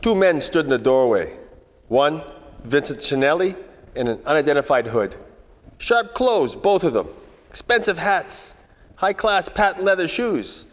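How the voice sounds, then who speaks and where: A man playing a wisecracking, world-weary 1940s private detective, narrating a radio noir parody in deadpan hard-boiled style. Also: fairly high pitch, unhurried, long reverb tail. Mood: brooding, wry.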